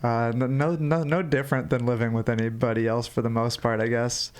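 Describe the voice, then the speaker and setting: A man's voice: mid-range voice, little pitch movement, brisk, smooth. A young man chatting casually, laid-back and understated in the way he answers. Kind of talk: casual chat.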